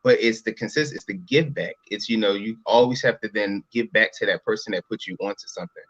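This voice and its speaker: A man's voice: fairly low pitch, fairly quick, fairly bright tone, no echo. Rambling man in his thirties, dry and dull sound. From Philadelphia. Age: 30s. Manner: rambling, repeats "you know what I'm saying". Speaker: interview guest, brand entrepreneur. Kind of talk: casual interview talk.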